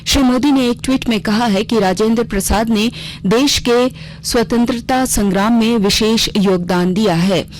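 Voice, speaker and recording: A woman's voice: fairly high pitch, moderate pace. A woman reading a formal scripted radio news bulletin as the anchor. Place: radio studio. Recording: clearly noisy regional radio broadcast.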